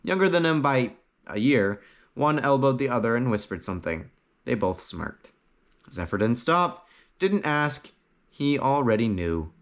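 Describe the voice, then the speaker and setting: A man's voice: medium pitch, big pitch swings, measured pace. A man reading a fantasy story aloud as an audiobook narrator, in a dramatic, phrase-by-phrase way.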